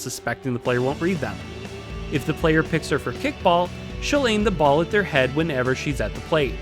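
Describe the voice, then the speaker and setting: A man narrating a scripted video lesson as presenter, emphatic and informal with playful exaggeration. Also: on the high side. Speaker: video essay presenter teaching game writing. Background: background music.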